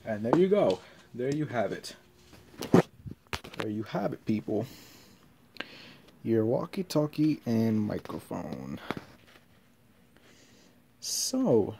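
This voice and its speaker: A man giving a casual hands-on tutorial in halting, short step-by-step instructions. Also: medium pitch, creaky, mellow, slight background noise. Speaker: tutorial presenter. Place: carpeted floor in a room at home.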